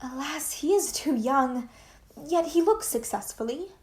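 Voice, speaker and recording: A woman playing a gentle, tender-hearted young princess in a Shakespearean radio play, with earnest, formal diction. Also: high voice, expressive, unhurried. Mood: concerned.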